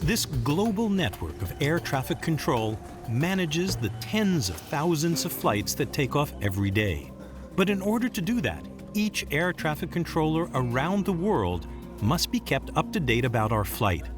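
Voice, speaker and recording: Male documentary narrator, slightly high voice, animated delivery over music. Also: moderate pace. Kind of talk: scripted narration.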